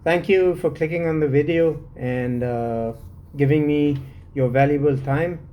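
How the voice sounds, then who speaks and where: Slow, hesitant man with a mellow voice. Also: a little high. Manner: slow and hesitant, many "uh" fillers. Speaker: fragrance reviewer. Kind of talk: unscripted solo review.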